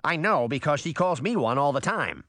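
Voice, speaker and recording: A man's voice: a little high, animated, normal speed, bright, forceful tone, no room sound, voice pushed up. A man voicing a cheeky, mischievous boy in a storybook, with a playful, taunting way of talking.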